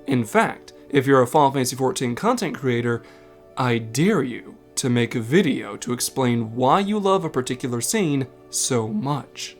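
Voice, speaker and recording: A man's voice: mid-range voice, animated, normal speed, soft background music. A man delivering scripted narration as the host of a video essay. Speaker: video essay narrator and game content creator.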